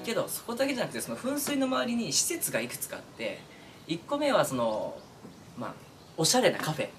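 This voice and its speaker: Man, lively intonation, casual creator monologue, hesitant conversational asides, background music. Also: high voice, fairly quick. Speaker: online voice-drama creator and channel host. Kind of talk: casual monologue to camera, addressing followers.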